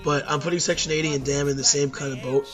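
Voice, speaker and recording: Hoarse young man with a raised voice. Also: a little high, little pitch movement, fast talker, over music. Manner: rambling, many fillers and self-corrections. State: hoarse from losing voice at a concert. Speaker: YouTube music reviewer. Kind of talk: casual spoken album ranking.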